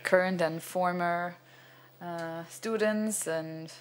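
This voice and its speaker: Older man, halting lecture, fillers, stand mic on a cinema stage. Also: high voice, steady tone. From Venezuela. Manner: hesitant, many fillers and restarts, gestures broadly. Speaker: cinematographer giving a masterclass. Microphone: stand microphone.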